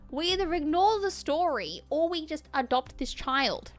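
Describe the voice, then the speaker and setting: A woman livestreaming a game, switching between reading the text aloud and reacting off the cuff in an animated way. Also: high-pitched, normal speed. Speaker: game streamer. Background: background music.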